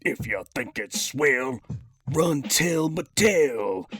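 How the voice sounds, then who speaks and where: A man puts on a cocky cartoon crime boss with a menacing streak. He delivers a scripted review in theatrical street slang, taking his time with an unhurried pace. Music plays faintly behind him. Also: medium pitch, expressive, fairly bright tone. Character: cocky, menacing cartoon master criminal toy, slangy gangster. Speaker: host of a toy figure review. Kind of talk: scripted in-character review with radio-drama flair.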